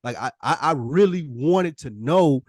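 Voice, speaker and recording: American male, higher-pitched voice, close dry sound, casual podcast chat, slangy, emphatic, slipping into imagined dialogue. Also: expressive, a little slow, a little mellow, no room sound. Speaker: podcast co-host. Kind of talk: casual conversational podcast talk.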